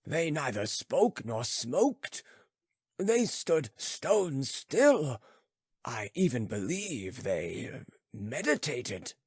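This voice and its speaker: A man's voice: mid-range voice, big pitch swings, drawn-out words, slightly rough, no echo, studio quality, voice pushed up, heavily acted. A man voicing an old philosopher, lofty and teasing, questioning emphatically in an audiobook story. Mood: musing, curious. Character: old philosopher sage, lofty, teasing, growling, addressing youths.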